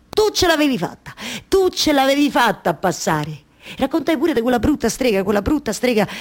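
A woman's voice: medium pitch, close to an everyday voice. An actress with a Roman accent playing an impassioned, wistful wife in a theatrical monologue, repeating her phrases obsessively as she retells her husband's odyssey. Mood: vivid, teasing. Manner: obsessive repetition of phrases, halting then surging. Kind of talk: theatrical radio monologue with live music.

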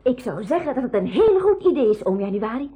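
A woman playing a lively, inquisitive young girl traveller in a 1980s school radio play. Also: a little high, a little fast, husky. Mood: eager.

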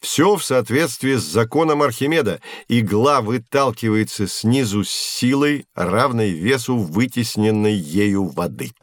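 Casting: genial science professor played by a man, mid-pitched, didactic and measured, close dry sound, educational radio play. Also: expressive, dry recording, lightly acted. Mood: explaining. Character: patient, genial male science professor demonstrating experiments. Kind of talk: scripted educational audio drama.

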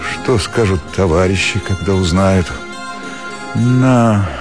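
Respectable country doctor, low voice, unhurried, pausing inner monologue, radio play, music behind. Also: male voice. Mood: self-doubting. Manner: reflective interior monologue with drawn-out pauses. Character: intelligent, respectable male country doctor, musing to himself.

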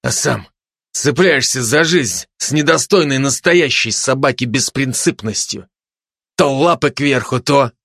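A man playing a battered special-forces dog in an audiobook, speaking tersely like a low-key military leader. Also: animated, a little slow, gravelly, fairly bright tone, breathy edge, no echo, clean studio sound, heavily acted. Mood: disapproving.

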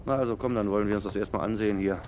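A man's voice: on the low side, steady tone, very fast, darkish tone, slight background noise, natural voice. An actor playing a cautious, easygoing policeman who repeats himself in a stammering, colloquial way, in a German radio play. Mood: puzzled.

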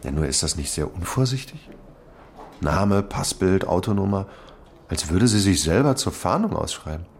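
A thoughtful police inspector in a radio play, musing aloud with a very low voice. Faint background noise sits behind him. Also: male voice, expressive, moderate pace, vocal fry, a little mellow. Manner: thoughtful, musing, talks it through. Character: older male police chief inspector, musing and weary. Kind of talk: radio drama acting.

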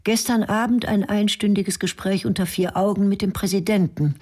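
A woman narrating a radio drama in an even, documentary-like way. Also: mid-range voice, normal speed. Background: music.

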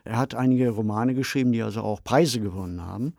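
A man's voice: medium pitch, quick pace, vocal fry, a little mellow, no room sound, studio quality. A man speaking as a podcast expert, giving conversational commentary in full, explanatory sentences.

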